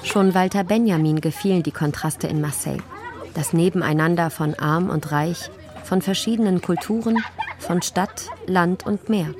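A woman's voice: lowish voice, normal speed, crowd noise. A woman narrating a radio documentary from a script.

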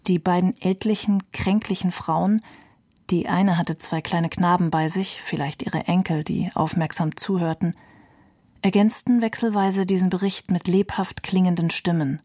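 A woman's voice: on the low side, steady tone, normal speed, warm tone. A woman giving a scripted literary reading of memoir and novel passages. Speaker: reader of literary quotations.

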